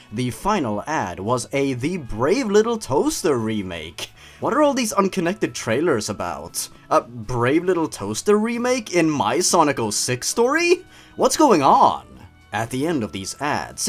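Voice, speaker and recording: A man narrating and mocking a horror story in a theatrical, exaggerated comedic style. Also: a little high. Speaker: host of a story-reading comedy show.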